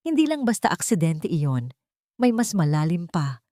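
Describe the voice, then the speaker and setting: A woman's voice: fairly low pitch, very animated, normal speed, warm tone, no echo, clean studio sound. A woman voicing a scheming, deceitful wife in an audio drama story, pleading and defiant. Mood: threatening. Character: scheming deceitful wife, desperate, defensive, turning threatening.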